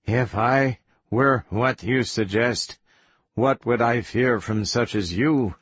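A man voicing a tormented captive in an audiobook, whispery and strained, speaking haltingly through pain. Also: mid-range voice, fairly bright tone, breathy edge, no echo. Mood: stiff, guarded. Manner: halting, stiff, as though in great pain. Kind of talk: audiobook character voice.

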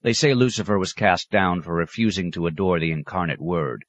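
Guy doing a tired old abbot, low voice, grave, bitter, brooding, storybook drama. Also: fairly quick, dull audio. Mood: anguished, raving. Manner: grave, rhetorical, sardonic. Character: weary, bitter, brooding aging male abbot.

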